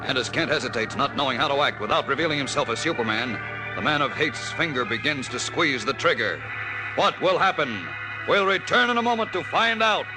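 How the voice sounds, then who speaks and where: A man's voice: mid-range voice, expressive, fairly quick, poor audio. A man narrating a 1940s radio serial in a dramatic, suspenseful manner. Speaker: announcer and narrator. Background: loud music.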